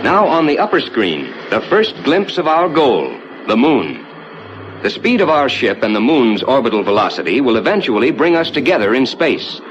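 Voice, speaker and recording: An actor playing a calm, reassuring rocket ship captain who explains the flight to passengers in measured, courteous 1950s ride narration. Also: male voice, medium pitch, highs cut off, close to an everyday voice. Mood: composed, instructive. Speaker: spaceship captain and tour narrator. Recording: noisy vintage 1950s ride soundtrack.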